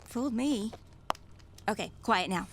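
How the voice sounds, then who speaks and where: An actress playing a brisk, motherly visiting caregiver in a 1930s radio drama, reassuring and full of instructions. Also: a little high, expressive, noisy background. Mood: brisk, kindly.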